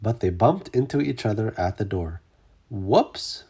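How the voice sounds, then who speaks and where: A storyteller reading a children's story aloud with expressive, dramatic emphasis. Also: mid-range voice, moderate pace, husky. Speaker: storybook reader.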